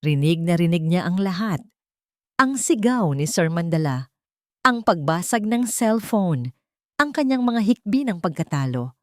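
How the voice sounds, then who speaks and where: Female narrator, lower register, for dramatic audio stories; dry, close sound. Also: big pitch swings, unhurried, dry recording. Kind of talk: scripted dramatic story narration.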